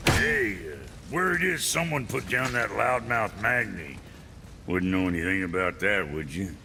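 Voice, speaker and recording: Gruff, gravelly, sardonic fantasy grouch. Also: male voice, lowish voice, a little slow, background noise. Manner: blunt, cranky. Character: gruff, foul-mouthed, sardonic man in a fantasy game. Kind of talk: video game cutscene acting.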